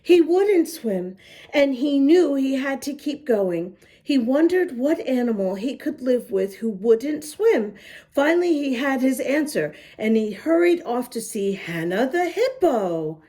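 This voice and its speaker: Female storybook reader for young children: high, lively pitch, unhurried delivery, expressive. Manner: animated, deliberate, with asides to little listeners. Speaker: children's picture book reader.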